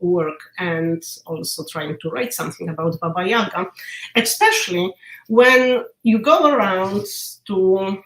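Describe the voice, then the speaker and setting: A woman's voice: mid-range voice, measured pace. A woman with a Polish accent, a literature professor explaining her research over a video call in a thoughtful way with small hesitations. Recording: roomy video call audio.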